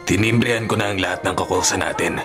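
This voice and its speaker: A man's voice: deep voice, steady tone, fast talker, close to an everyday voice. A man with a Filipino accent playing a vengeful, conspiratorial prison inmate in a radio drama. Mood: menacing, scheming. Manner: menacing, conspiratorial. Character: vengeful male prison inmate plotting a deadly riot. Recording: music behind the voice.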